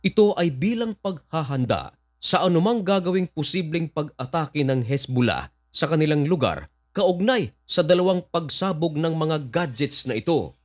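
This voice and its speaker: A man's voice: a little high, sing-song, moderate pace, darkish tone. A man with a Filipino accent reading scripted news commentary, explaining events and addressing listeners directly.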